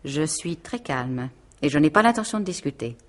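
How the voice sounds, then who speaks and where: An actress playing a domineering, cold aunt in a radio mystery play, with an imperious, cutting and sarcastic manner. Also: female voice, low voice, animated, moderate pace. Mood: icy sarcasm. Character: domineering, cold aunt who rules the household.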